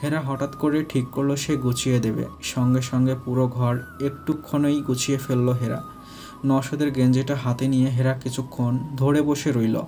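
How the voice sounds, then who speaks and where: Mellow male story narrator. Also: mid-range voice, little pitch movement, moderate pace, a little hiss or hum. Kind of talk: scripted romantic story narration.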